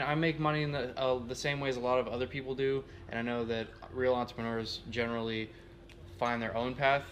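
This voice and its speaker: A man's voice: medium pitch, a bit bright, slight background noise. A man from Texas introducing himself as a guest, in a modest, hesitant way. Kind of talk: casual self-introduction.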